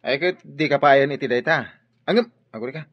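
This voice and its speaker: An actor playing a teasing, inquisitive buddy in a radio drama. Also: male voice, mid-range voice, fast talker, close to an everyday voice. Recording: dull radio sound, faint music.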